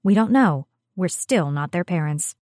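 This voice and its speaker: A woman's voice: fairly low pitch, expressive, unhurried, a little mellow, no echo, studio quality. A woman co-hosting a podcast with casual, comedic banter in a playful, jokey manner. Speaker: podcast co-host.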